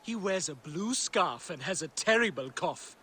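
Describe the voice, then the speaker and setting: An actress playing a guarded, wounded young Egyptian captive in a dramatic video game cutscene. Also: female voice, lowish voice, animated, a little slow, a bit bright, a little hiss or hum. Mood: somber. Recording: game music behind voice.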